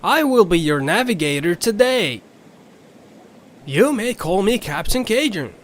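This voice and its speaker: Slow-talking, high-pitched Southern ferry captain creature. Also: male voice, big pitch swings, fairly bright tone, full character voice. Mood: welcoming, poised. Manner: courteous, apologetic, genteel. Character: polite, skinny green creature, folksy ferryboat captain.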